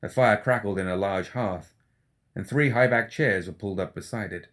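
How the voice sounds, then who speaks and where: A man's voice: lowish voice, moderate pace, fairly bright tone. A man reading scripted fiction as an audiobook narrator. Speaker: audiobook reader.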